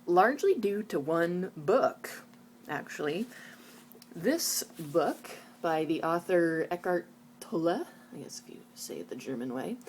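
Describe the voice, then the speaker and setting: A woman's voice: lowish voice, a little mellow, a little hiss or hum. A woman talking casually and reflectively in a personal vlog, candid and introspective, often qualifying what she says. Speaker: video blogger.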